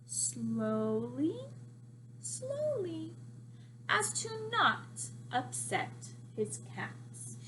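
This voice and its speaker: A woman teacher reading aloud to young children, expressive and exaggerating her emphasis. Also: very high-pitched, very slow, slight background noise, poor audio.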